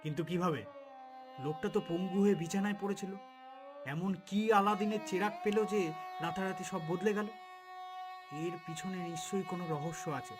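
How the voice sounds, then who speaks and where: A man's voice: sits high, normal speed, long pauses, natural voice. A man acts out a greedy village schemer in a cartoon melodrama. He is sly and cowardly, speaking with heavy emphasis. Music plays behind the voice. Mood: sly, scheming. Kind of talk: cartoon voice acting.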